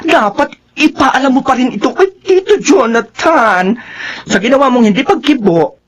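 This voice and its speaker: A woman's voice: sits high, normal speed. A woman playing a chatty, dramatic young cousin who drops English words into her speech, in a radio drama. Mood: urging. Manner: expressive, mixes English words.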